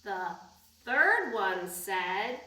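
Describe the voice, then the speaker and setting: A woman's voice: medium pitch, very animated, extremely slow, pushed and bright. A woman leading a storytime for young children, reading aloud and talking in a sing-song way with simple prompts. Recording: echoey room, distant microphone.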